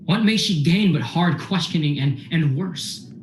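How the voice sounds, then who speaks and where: A man's voice: fairly high pitch, animated, a little slow, slight background noise. Man playing an echoey, defiant, plain-spoken husband. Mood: insistent. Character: earnest, defiant farmer husband defending his wife. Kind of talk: radio play acting. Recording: echoey room sound.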